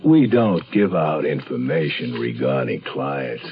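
An actor playing a cagey, mercenary private investigator in a 1970s American radio drama, brusque and sardonic. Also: male voice, a bass voice, very animated, unhurried, full character voice. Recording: dull 1970s radio recording.

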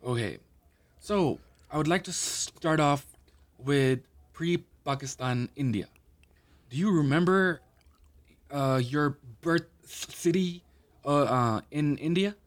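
A man's voice: on the high side, slow pace. A man hosting a casual interview with his father, asking questions in a chatty, friendly way and sometimes switching into Urdu. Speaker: podcast host.

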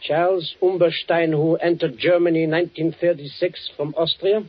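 An actor playing a coldly gloating Gestapo officer in a 1940s radio drama, slow and deliberate as he savours his words. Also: on the high side, fairly even intonation, husky. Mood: startled, accusing. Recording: muffled vintage 1940s radio broadcast.

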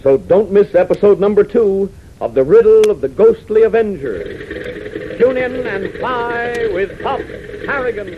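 An American man announcing a 1940s radio adventure serial for young listeners, excitable and dramatic. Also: high-pitched, a little slow. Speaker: announcer and narrator. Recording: muffled, noisy 1940s radio recording.

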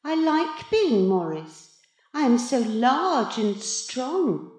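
A woman voicing a smug, gloating cat who has become a boy in a children's story, taunting with drawn-out mock politeness. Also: high-pitched, sing-song, a little mellow, roomy sound, voice pushed up, heavily acted. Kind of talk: children's storybook character reading.

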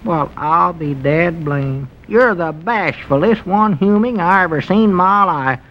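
A high-pitched, plain-spoken old country storekeeper with a slow, teasing drawl. The recording is dull and muffled, with a faint hiss behind the voice. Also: male voice, expressive. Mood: exasperated. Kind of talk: 1930s-50s radio comedy acting.

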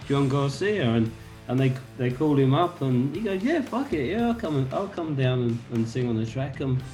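A man's voice: medium pitch, moderate pace, faint music behind. A man from England, a band member guest, telling stories about his band in a casual interview. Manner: animated anecdotes, quotes others.

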